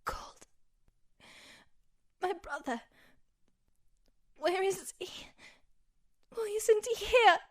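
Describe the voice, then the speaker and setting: A woman acts a dying young woman in an audio drama, very high in pitch and very slow. She is weak and halting, swinging widely in tone, frightened but still proud. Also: animated, exaggerated voice. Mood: weak, despairing. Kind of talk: amateur audio drama acting.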